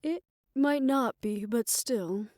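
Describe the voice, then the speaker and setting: Woman acting a slow, tender, world-weary lover. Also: on the high side, dry recording, clean studio sound. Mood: apologetic. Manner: measured, reflective, formal phrasing, soft hushed moments. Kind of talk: romantic audio roleplay, intimate scripted monologue.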